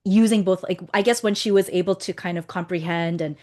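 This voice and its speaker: A woman's voice: lowish voice, steady tone, a little fast, a little mellow. A woman from Canada, hosting an interview and asking thoughtful follow-up questions. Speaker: host.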